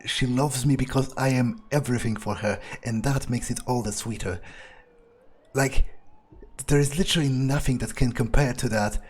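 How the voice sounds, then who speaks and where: A man's voice: mid-range voice, normal speed, husky, natural voice. A man playing a smug, power-hungry vampire in improvised roleplay, musing and gloating in a hesitant way. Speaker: gamer playing in character.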